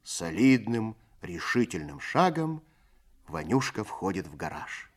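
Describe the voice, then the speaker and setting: A man narrating a literary story for a radio play in a measured, expressive storytelling manner. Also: lowish voice.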